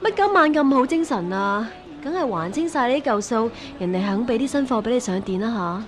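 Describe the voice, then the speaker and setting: A woman with a Hong Kong Cantonese accent playing a bitter, defiant young street singer in a radio drama, emotional and sharp-tongued. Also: a little high, expressive, a little fast, lightly acted. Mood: defiant, scornful.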